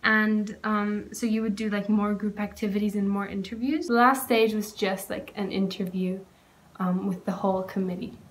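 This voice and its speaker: A woman's voice: mid-range voice, steady tone, normal speed, poor audio. A young woman from Greece, a guest in a casual chat, talking loosely with many fillers, recorded on a laptop in a small room. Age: young adult. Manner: rambling, lots of "like" and "um". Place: small indoor room at home.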